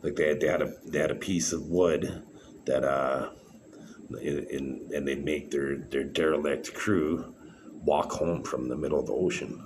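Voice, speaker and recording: Super deep voiced guy rambling at the camera, lots of uhs and restarts, noisy background. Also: fairly even intonation, a little mellow. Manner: rambling, halting, many fillers and restarts. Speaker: vlogger. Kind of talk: rambling solo monologue to camera. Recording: clear background noise.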